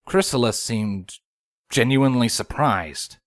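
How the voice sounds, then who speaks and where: A man's voice: medium pitch, expressive, measured pace, dry recording, clean studio sound. A man narrating a scripted story as the narrator of a fan fiction audio drama.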